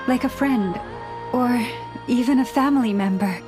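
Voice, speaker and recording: A woman playing a serene priestess leader in a video game, speaking in a formal, measured, earnest way. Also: fairly high pitch, lightly acted. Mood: earnest, calm. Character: tall antlered priestess leader, gentle, solemn, serene. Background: background music.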